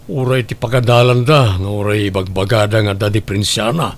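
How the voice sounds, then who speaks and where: A man with an Ilocano accent playing a gruff, proud father in a family drama. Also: lowish voice, fairly even intonation, normal speed, natural voice. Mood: proud, content. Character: gruff, skeptical, proud father of a scholar athlete. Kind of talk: Ilocano radio-style family drama acting.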